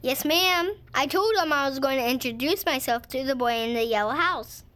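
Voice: high-pitched, moderate pace, pushed and bright. An actor playing an eerie, polite little boy from down the street in an audio drama. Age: child. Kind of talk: audio fiction acting.

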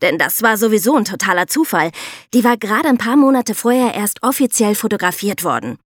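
Woman, high-pitched, animated intonation, colloquial podcast talk, emphatic explainer, dry sound. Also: a little fast, no echo. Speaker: podcast co-host. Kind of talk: lively explanatory talk with co-hosts.

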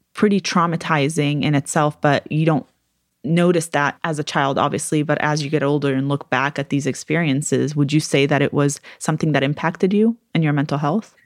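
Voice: medium pitch, dry recording. Curious interviewer in a dry close recording. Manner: curious, encouraging. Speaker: podcast host. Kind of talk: casual interview questions.

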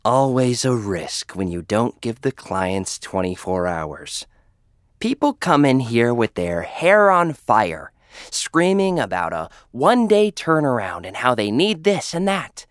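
A man's voice: medium pitch, big pitch swings, a little slow, no room sound. A man narrating a first-person audio drama in a dry, sardonic, arrogant manner. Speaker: narrator and lead character.

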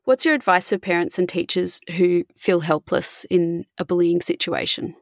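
Woman interviewer, lowish gravelly voice, dry sound, short direct questions. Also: steady tone, moderate pace, no echo.

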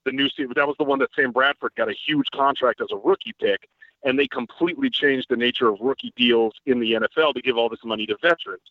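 Fast-talking man, dry lo-fi sound. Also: mid-range voice, no room sound. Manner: fast, detailed analytical explaining. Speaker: guest football writer. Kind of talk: casual podcast chat.

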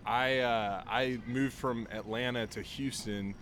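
A man guesting on a sports podcast, speaking in a soft, hesitant way. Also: mid-range voice, fairly even intonation, takes it slowly, slight background noise. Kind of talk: casual sports podcast chat.

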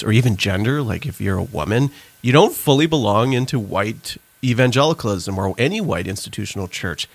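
A man's voice: fairly low pitch, no room sound. A man from Trinidad, an author and pastor, speaking earnestly as an interview guest and explaining his ideas at length. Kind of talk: interview conversation.

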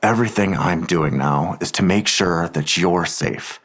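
An actor playing a protective, secretive man digging into a crime, speaking in a measured, reassuring way in an audio drama. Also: sits low, fairly bright tone, exaggerated voice. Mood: earnest, reassuring.

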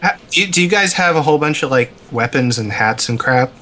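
Guy with a bright voice chatting on a gaming podcast, kinda blunt and wry, faint background noise. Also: a little high, expressive, fairly quick. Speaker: podcast co-host. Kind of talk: casual anecdotal gaming chat.

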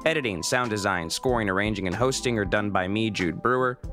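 A man with a fairly low voice hosts a podcast in a conversational style. Faint music sits behind his voice. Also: brisk, fairly bright tone. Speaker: podcast host.

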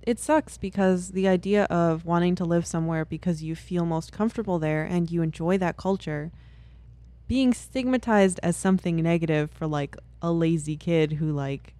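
A woman co-hosting a casual chat, speaking in an animated, emphatic way. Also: on the low side, moderate pace, no echo. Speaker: podcast co-host.

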